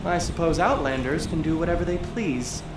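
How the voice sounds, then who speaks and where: A man's voice: fairly high pitch, normal speed, roomy sound, background noise, lightly acted. A young man playing a petulant, sheltered teenage noble in a video game, theatrical and haughty. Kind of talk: video game dialogue acting.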